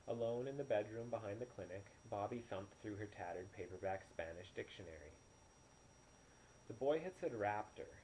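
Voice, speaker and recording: A man's voice: fairly low pitch, darkish tone. A man reading a novel aloud as an amateur storyteller in audiobook style. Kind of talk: audiobook narration. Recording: noisy, poor-quality home recording.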